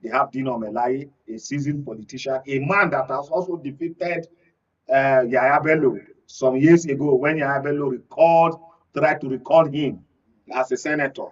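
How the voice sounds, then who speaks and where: Male Nigerian political pundit, husky voice, expository delivery, muffled off-mic studio sound. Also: medium pitch, fairly even intonation, normal speed. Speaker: guest political analyst. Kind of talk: political panel discussion. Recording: dull, distant studio sound.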